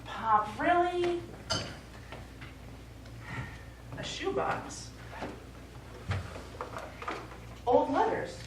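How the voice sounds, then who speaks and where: A woman's voice: extremely high pitch, expressive, slow pace, heavily acted. A middle-aged woman playing a practical, concerned daughter in a live stage play, coaxing with mild exasperation. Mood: puzzled, correcting. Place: small indoor theatre stage, recorded from afar. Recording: echoey theatre, distant mic, faint noise.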